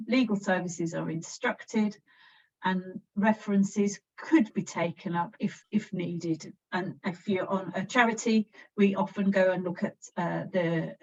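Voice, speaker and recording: A woman with an English accent, a council officer explaining a process in an informal presentation, steady and practical with frequent restarts. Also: medium pitch. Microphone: video call. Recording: distant, dull video call audio.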